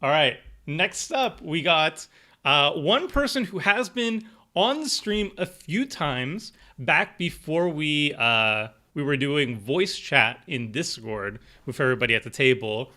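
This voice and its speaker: Bright-voiced man hosting a stream. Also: on the high side, big pitch swings, unhurried. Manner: chatty, filler-heavy, laughs often. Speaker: stream host. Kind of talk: casual livestream chat.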